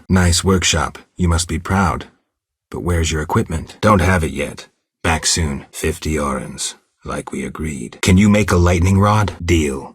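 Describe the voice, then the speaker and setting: Casting: stoic, not quite human game monster hunter; very deep bass, husky gravel, laconic deadpan lines. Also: male voice, no room sound, studio quality. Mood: terse, businesslike. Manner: terse, deadpan, guarded. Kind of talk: video game dialogue acting.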